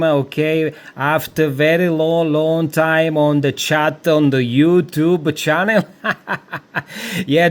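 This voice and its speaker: Male radio operator, Italian accent, slightly high-pitched, repetitive phrasing, contact chat in call signs. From Italy. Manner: repeats phrases for emphasis.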